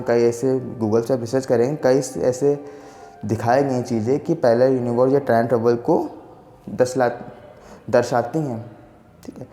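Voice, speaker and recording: Mellow-voiced young man explaining, echoey room. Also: medium pitch. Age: 20s. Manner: rambling, repeats filler checks for agreement. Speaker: science explainer channel host. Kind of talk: casual explainer talk to camera.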